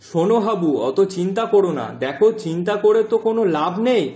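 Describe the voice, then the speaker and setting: A man playing a gentle, fatherly middle-aged village fisherman in a cartoon moral story, talking in a soft, reassuring way. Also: high voice, animated, fast. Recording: roomy echo, music underneath.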